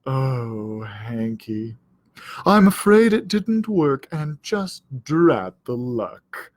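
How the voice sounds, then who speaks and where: A man voicing a sly, smug storybook cat with a drawling, falsely sympathetic, deliberate delivery. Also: medium pitch, big pitch swings, dry recording. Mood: mock regretful. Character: sly, smug, silky male cat scheming against a dog. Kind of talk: children's storybook character voice.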